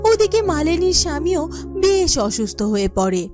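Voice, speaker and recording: A woman's voice: extremely high pitch, sing-song, moderate pace, music in the background. A woman giving scripted narration for a fairytale cartoon.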